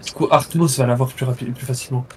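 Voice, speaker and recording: A man doing casual live commentary on a flight simulator tournament, relaxed and teasing, often trailing off mid-sentence. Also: mid-range voice, quick pace, crowd noise.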